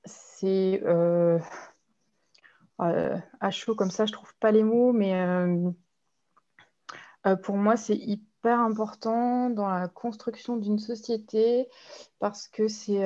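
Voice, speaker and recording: A woman's voice: mid-range voice, fairly even intonation, slow, warm tone, highs cut off. A woman, a guest artist, answering press questions on a video call, hesitant and full of fillers and restarts. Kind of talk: online press conference answers. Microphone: video call mic.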